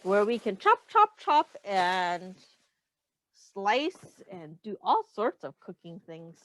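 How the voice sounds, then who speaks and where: Casting: female early-years teacher, slow and very expressive, doing an interactive children's read-aloud. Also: medium pitch, no room sound, rough recording. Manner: instructive, explains in simple words, repeats and counts aloud; addresses children directly, pausing for their answers. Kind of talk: children's read-aloud with questions to young listeners.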